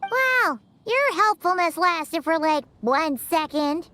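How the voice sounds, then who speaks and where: A woman voicing a small, excitable childlike girl companion in a video game, chirpy, blunt and easily exasperated. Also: very high voice, slow, full character voice. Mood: teasing. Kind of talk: video game character acting.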